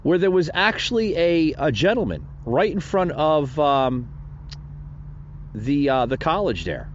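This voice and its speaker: A man's voice: fairly high pitch, sing-song, measured pace, highs cut off, a little hiss or hum. A man hosting a solo political commentary podcast, rambling and indignant, with many fillers and restarts. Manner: indignant and preachy. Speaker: podcast host.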